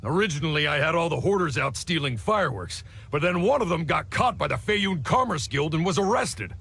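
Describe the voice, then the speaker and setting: An actor playing a cocky, crude bandit boss who talks in slang and swaggers, in a game cutscene. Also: male voice, fairly high pitch, expressive, a little fast, gravelly, a bit bright, breathy edge, exaggerated voice. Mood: cornered. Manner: slangy, swaggering, stammers when caught.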